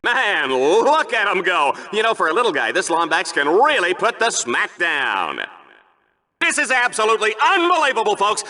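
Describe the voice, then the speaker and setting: A man's voice: high-pitched, bright, forceful tone, heavily acted. An actor playing a hammy, gleefully callous male sports show announcer in a video game, commentating like a bombastic showman with exaggerated emphasis. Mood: amazed, hammy.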